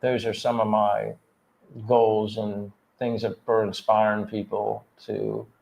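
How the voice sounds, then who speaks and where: A man's voice: lowish voice, flat delivery, takes it slowly, gravelly, a little mellow, dull audio. A man in his fifties from Pennsylvania, chatting earnestly and a bit ramblingly on a livestream. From Pennsylvania, USA. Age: 50s. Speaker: livestream co-host. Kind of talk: casual livestream chat.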